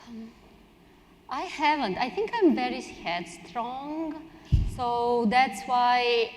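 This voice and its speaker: Higher-pitched woman from Serbia, echoing hall. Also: lively intonation, takes it slowly. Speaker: panelist, strategy director. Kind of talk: casual spontaneous panel talk. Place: indoor conference hall on stage. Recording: echoey hall, long reverb.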